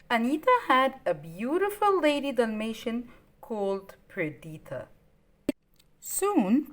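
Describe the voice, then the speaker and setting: A woman reads a children's story aloud. Her voice sits fairly high and moves in lively swings. She addresses young listeners simply, stressing the dramatic words. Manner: simple, child-directed delivery with dramatic emphasis.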